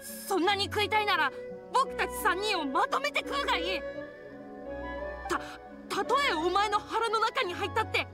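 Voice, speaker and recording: A woman's voice: very high-pitched, animated, normal speed. A woman voicing a defiant little boy spirit in a video game, with an earnest, boyish manner. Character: defiant little one-eyed boy yokai. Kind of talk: Japanese video game voice acting. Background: music.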